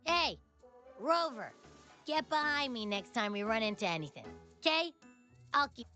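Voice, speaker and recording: A high-pitched, childlike creature sidekick from a video game. The delivery swings widely between cocky boasting and whiny pleading, with a bright, forceful edge and music underneath. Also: female voice, big pitch swings, unhurried, heavily acted. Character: boastful, gluttonous, childlike little shapeshifting creature companion. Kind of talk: animated video game voice acting.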